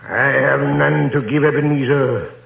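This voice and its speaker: An actor playing the ghost of a dead business partner, solemn and declaiming warnings in a 1930s radio drama. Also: male voice, medium pitch, fairly even intonation, a little slow, vocal fry. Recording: echoey, dull old radio recording.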